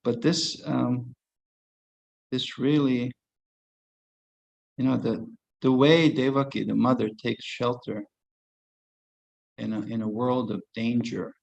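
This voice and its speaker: A man's voice: medium pitch, little pitch movement, slow, gravelly, long pauses, darkish tone, highs cut off. A man giving a devotional lecture as a spiritual teacher, conversational, chuckling, and asking rhetorical questions.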